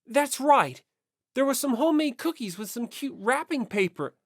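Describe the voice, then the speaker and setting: Casting: a reader as an earnest young male student, light and high, courteous but tentative. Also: animated, moderate pace, no echo, studio quality. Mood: earnest inquiry. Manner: eager, tentative. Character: earnest, polite, hesitant high school boy.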